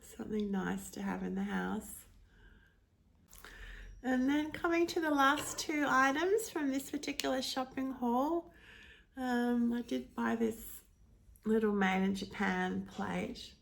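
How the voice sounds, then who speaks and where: A woman vlogger, nasal and congested from hay fever, casually showing off her thrift-shop finds in a gentle, unhurried way with frequent ums. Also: fairly high pitch, creaky, a little hiss or hum.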